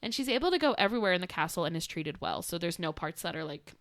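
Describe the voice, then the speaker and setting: A young woman chats through a fairytale on a podcast, laughing often and tossing in sarcastic asides. Her voice is bright and lively in pitch, and the sound is close and dry. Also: quick pace, dry recording. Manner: giggly, sarcastic, acts out quotes in voices. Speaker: podcast co-host. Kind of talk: casual storytelling chat.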